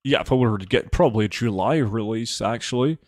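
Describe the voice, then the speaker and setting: A young man streaming a game, chatting casually and answering viewer questions in a relaxed, offhand way. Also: mid-range voice, brisk, no room sound, clean studio sound. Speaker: gaming streamer reading chat. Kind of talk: casual live gameplay chat.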